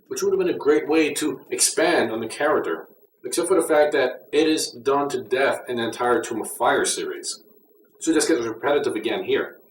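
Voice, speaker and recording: A man giving a casual, conversational and mildly critical review as an audio drama reviewer. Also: mid-range voice. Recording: roomy echo, distant mic, faint noise.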